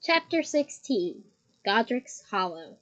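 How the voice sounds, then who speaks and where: A slightly hoarse woman reading a novel aloud at home, breaking off for chatty, giggly asides. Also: on the high side, sing-song, slow, a bit bright, rough recording. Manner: chatty, giggly asides between read passages. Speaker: reader of a novel. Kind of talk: casual read-aloud with asides. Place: at home on her bed.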